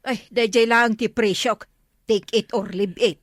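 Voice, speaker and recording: A high-voiced, grumbling village woman with an Ilocano accent. She haggles plaintively over her cattle in a radio comedy. The sound is dry and close. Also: fairly even intonation, unhurried, no echo. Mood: brusque haggling. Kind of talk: radio comedy drama acting.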